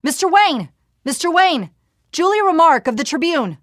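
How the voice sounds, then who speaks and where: A woman's voice: extremely high pitch, sing-song, moderate pace, a bit bright, no echo, clean studio sound, heavily acted. A woman playing a pushy, probing newspaper reporter in a video game, insistent and pointed. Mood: calling for attention.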